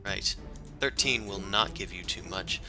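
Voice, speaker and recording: A man narrating as game master in a tabletop game, chatty and relaxed with many fillers. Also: lowish voice, unhurried, creaky, music in the background.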